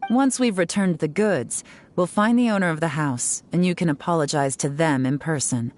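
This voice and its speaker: A woman voicing a composed investigator who speaks calmly and with authority in a game. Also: low voice, moderate pace, slight background noise, natural voice. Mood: stern. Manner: calm, dry and authoritative. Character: composed adult woman investigator, firm and wry.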